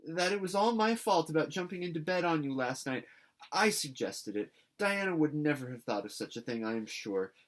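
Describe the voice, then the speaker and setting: A man's voice: on the high side, animated, moderate pace, voice pushed up, close to an everyday voice. A man reading a storybook as an earnest, dramatic orphan girl who pleads in formal, effusive speech. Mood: nervous, confessing.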